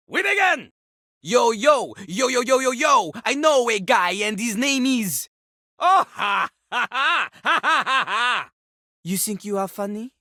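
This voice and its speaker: Boastful toilet rapper, video game character, bright forceful voice, hugely animated pitch, easily outraged, mocking. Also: female voice, a little slow, no room sound, studio quality, full character voice. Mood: boastful, instructing. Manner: brash, mocking, grandiose, speaks of self in third person.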